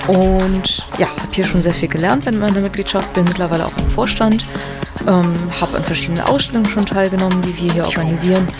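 A woman's voice: fairly low pitch, steady tone, fast, over music. A woman speaking casually as an interview guest from an art collective.

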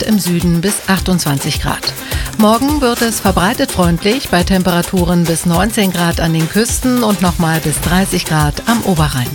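A woman presenting the radio news, reading in a crisp, even delivery. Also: on the low side.

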